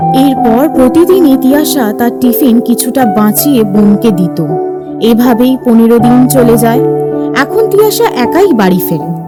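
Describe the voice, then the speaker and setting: A woman's voice: on the high side, animated, unhurried, over loud music. A woman reading scripted narration for an audio story.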